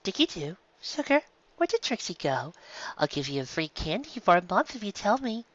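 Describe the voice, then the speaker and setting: A sweet-talking, demanding pony mare, put on by a man. Her voice is lifted high and soft-edged in coaxing, a storybook character recorded dry. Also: big pitch swings, moderate pace, no room sound, lo-fi sound. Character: sugary, demanding earth pony mare, candy maker.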